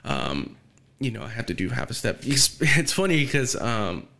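A young man with a mid-range voice hosts a relaxed chat. He talks in a laid-back way, swears freely and laughs often. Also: mid-range voice, moderate pace. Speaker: podcast host, musician. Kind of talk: casual podcast chat.

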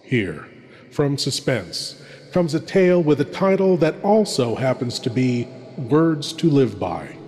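A man hosting a horror show, delivering a theatrical scripted introduction in an ominous, deliberate, florid manner with long reverb. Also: mid-range voice, very animated, darkish tone, slight background noise.

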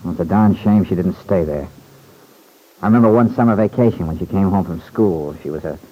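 A man's voice: deep voice, a little fast, husky, narrow, tinny audio. An actor playing an earnest, loyal young man home from war in an old-time radio serial. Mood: indignant. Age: young adult. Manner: earnest, colloquial, reflective.

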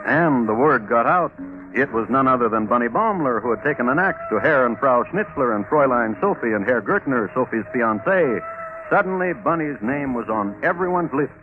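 A man's voice: mid-range voice, animated, lightly acted. Wry old-radio crime narrator played by a man. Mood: dramatic, deliberate. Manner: dry, deliberate, wry. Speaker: host and narrator. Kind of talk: scripted narration, 1950s radio drama. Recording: old narrowband radio recording, music behind.

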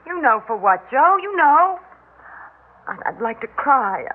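A woman's voice: high-pitched, big pitch swings, measured pace. An actress playing a practical, weary middle-aged foster mother in an old radio drama, earnest and placating, with hesitant phrasing. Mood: resigned, pleading. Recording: narrow-band old radio, faint noise.

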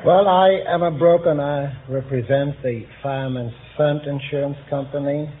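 Higher-voiced man, tinny old radio. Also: fairly even intonation, normal speed. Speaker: insurance broker, quiz contestant. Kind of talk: polite interview answers. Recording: tinny old radio recording, faint noise.

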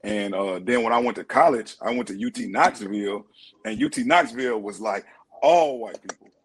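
A man in casual group chat, telling animated stories. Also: fairly low pitch, normal speed, breathy edge. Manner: animated storytelling, acts out quoted voices.

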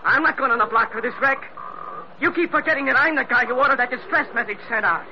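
A hot-tempered young ship's officer in 1940s radio style. His voice is high and his delivery hard and clipped. The recording is muffled and noisy. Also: fast. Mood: threatening, defiant. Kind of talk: 1940s radio drama acting.

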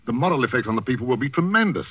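An actor playing a commanding doctor who coaches resistance plotters, urgent and instructing, in a 1940s radio drama. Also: male voice, mid-range voice, big pitch swings, very fast, slightly rough, no room sound. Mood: urgent, directing. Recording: lo-fi, distant old radio recording.

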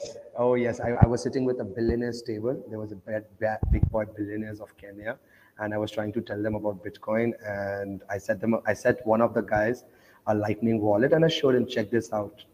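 A man's voice: fairly low pitch, flat delivery, brisk, darkish tone, big-room sound, slight background noise, poor audio. A man from Oman, a guest on a casual panel chat, telling stories eagerly with loose grammar.

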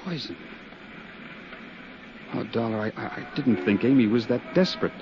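A man acting a rattled, defensive office worker in a 1950s radio play. He blusters and stumbles over his words in a medium male pitch. The old recording is dull, with faint noise. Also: lively intonation, moderate pace, lightly acted. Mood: shocked, distressed. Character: defensive, agitated office worker shaken by bad news.

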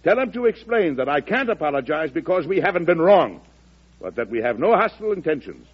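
Husky, indignant president, old radio. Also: male voice, fairly high pitch, big pitch swings, brisk. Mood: firm. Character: stubborn, commanding American president, firm and indignant. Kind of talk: old-time radio drama acting. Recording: dull vintage radio recording.

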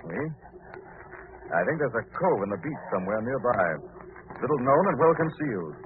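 An actor playing a brisk, shrewd investigator in an old radio drama, terse and authoritative. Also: male voice, medium pitch, sing-song, natural voice. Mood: urgent deduction. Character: brisk, shrewd male amateur investigator, decisive. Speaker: actor in a radio mystery serial. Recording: tinny, noisy old radio recording.

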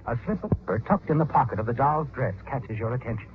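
An actor playing a knowing, insinuating narrator of an old radio mystery, telling the story in the second person. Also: male voice, medium pitch, lively intonation, fast talker, a little hiss or hum, natural voice. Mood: knowing, suspenseful. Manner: dramatic, insinuating. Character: knowing, insinuating male narrator goading the guilty protagonist. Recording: tinny old radio recording.

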